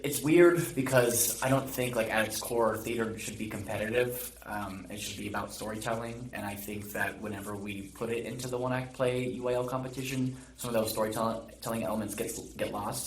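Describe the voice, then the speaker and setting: A man giving casual, reflective opinions in an interview, softening them as he goes. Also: medium pitch. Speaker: theatre director and teacher. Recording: roomy echo, background noise.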